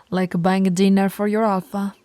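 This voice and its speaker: A woman playing a dominant, teasing female alpha werewolf pack leader in an ASMR roleplay, commanding and often slipping into a breathy whisper. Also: medium pitch, little pitch movement, unhurried, mellow, no room sound, natural voice. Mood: playfully skeptical.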